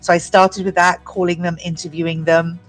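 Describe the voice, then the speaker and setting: A woman's voice: on the low side, little pitch movement, brisk, faint music behind, low-quality recording. English-accented woman telling her story. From United Kingdom. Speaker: guest entrepreneur. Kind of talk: prepared personal story.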